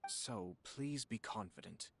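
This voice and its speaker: Composed, courteous young noble lord. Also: male voice, on the low side, lively intonation, slow pace, vocal fry, noisy background, full character voice. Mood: calm, reassuring. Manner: formal, polite, gently probing. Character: courteous, composed, shrewd young clan lord in fantasy Japan. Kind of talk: video game dialogue acting.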